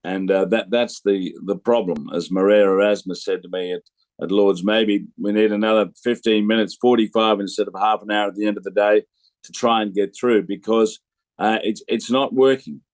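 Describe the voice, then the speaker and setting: A man giving conversational sports punditry, halting between phrases and telling anecdotes. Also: lowish voice, fairly even intonation, a little mellow, dry recording. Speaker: cricket pundit.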